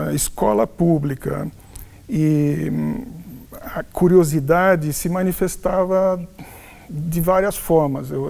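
A man's voice: a little high, creaky, mellow. A man in his sixties with a Paulistano accent, telling his life story in an interview, often restarting and correcting himself. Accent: Paulistano Brazilian Portuguese. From São Paulo, Brazil. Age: 60s. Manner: halting, frequent restarts and self-corrections. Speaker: interviewed professor. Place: furnished office with wooden cabinets, indoors. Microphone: lapel.

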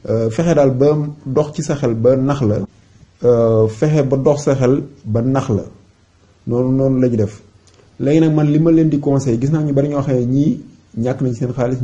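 Male tutorial presenter, Senegalese accent, medium pitch, very soft-edged dark tone, informal advisory delivery. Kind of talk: informal explainer voice-over. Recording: dull, muffled lo-fi recording.

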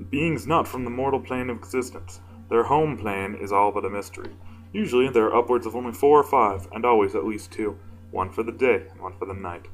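A man with a deep voice reading fan fiction casually, informal and self-deprecating, stumbling now and then. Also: low-quality recording. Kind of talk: casual amateur story reading with asides.

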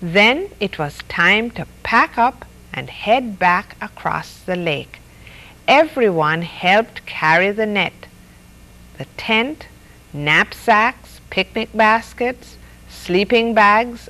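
A woman's voice: mid-range voice, slow pace, low-quality recording. The woman at a children's story hour, in a chair among stuffed toys, taking her time over each page and lifting and dropping her voice expressively for the listeners. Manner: expressive, acts out characters' lines. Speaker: story hour host reading aloud. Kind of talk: children's storybook read-aloud. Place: studio set dressed as a reading nook with stuffed toys.

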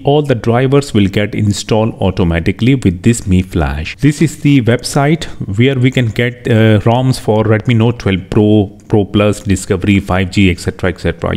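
Mellow-voiced man giving a tutorial. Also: mid-range voice. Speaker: tech tutorial host. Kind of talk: step-by-step tutorial narration.

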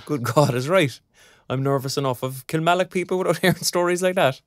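A man's voice: on the high side, a little fast, clean studio sound, lightly acted. Guy playing young irish grad, teasing and encouraging, chatty audio drama, no room echo. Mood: bantering. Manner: colloquial, bantering. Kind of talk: naturalistic audio drama dialogue.

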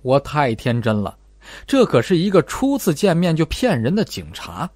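A man's voice: fairly high pitch, huge pitch swings, moderate pace, darkish tone, no echo. A man voicing an earnest, naive, stubborn young man in an audiobook, plain-spoken and insistent. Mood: rueful.

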